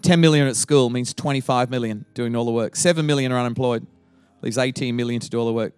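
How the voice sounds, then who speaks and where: A man's voice: medium pitch, fairly even intonation, quick pace, darkish tone, smooth, dry recording. A middle-aged man with an Australian accent, a guest pastor opening his sermon with playful teasing and frequent laughter.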